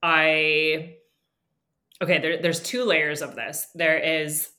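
A woman's voice: low-pitched, level tone, takes it slowly, sharp tone. A woman from Canada, a nervous system coach, answering interview questions candidly, thinking aloud with frequent restarts. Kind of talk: reflective interview talk.

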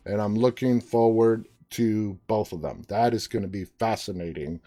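A man's voice: on the low side, steady tone, normal speed, warm tone. A man from New York with a New York accent, hosting a casual live show and chatting as he reads viewer comments. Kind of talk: casual live-stream chat.

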